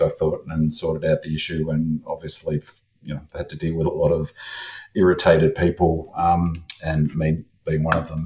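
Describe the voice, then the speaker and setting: Croaky low Australian man, roomy sound. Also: a little mellow. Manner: rambling, full of ums and restarts. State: hoarse, croaky voice. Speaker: resident stats analyst. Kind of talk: casual podcast chat.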